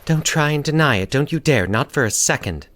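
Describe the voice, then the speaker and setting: A man voicing a childlike, lonely woman with a dreamy, wistful and excitable manner in a scripted horror story. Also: mid-range voice, brisk, a little hiss or hum, pitched above the performer's own voice, close to an everyday voice. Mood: excited, accusing. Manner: breathless, wistful, insistent.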